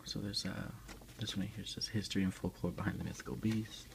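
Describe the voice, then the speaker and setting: A man with a deep, creaky voice talks softly and casually in an ASMR style, often dropping into a whisper. He sounds like a host showing items to the viewer. Clear noise and small clicks sit behind his voice. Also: steady tone, fairly quick. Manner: hushed, often slipping into whispers. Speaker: unboxing video host. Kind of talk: soft-spoken ASMR, casual unboxing chat.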